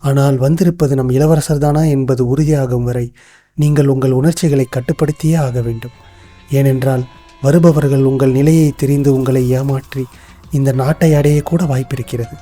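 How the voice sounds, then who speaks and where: A loyal, cautious royal minister, acted by a man for an animated folktale. The voice is very dark and mellow, speaking formal, measured court language over faint music. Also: medium pitch, lightly acted. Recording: music under the voice.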